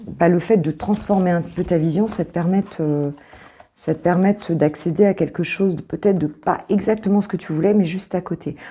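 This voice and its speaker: A French woman who is a coach, reading cards to her viewers in a casual, hesitant way with many fillers and a slightly hoarse voice. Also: low voice. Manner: hesitant, many fillers, soft reassuring asides.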